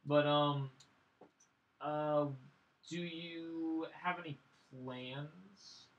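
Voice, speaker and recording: A man's voice: a little high, steady tone, extremely slow, lo-fi sound. A young man hosting a casual podcast interview, asking hesitant, loosely phrased questions. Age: young adult. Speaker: podcast host interviewing a guest.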